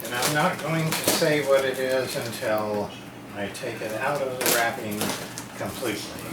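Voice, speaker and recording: A man's voice: mid-range voice, steady tone, moderate pace, heavy background noise. A man casually narrating a hands-on unboxing as a radio repair hobbyist, talking to himself in folksy short fragments.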